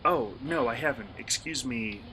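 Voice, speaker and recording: A man playing an eager, polite college student and hobby vlogger in a radio play, excitable and earnest. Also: medium pitch, moderate pace, a little hiss or hum, lightly acted, poor audio. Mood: sheepish, polite. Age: young adult.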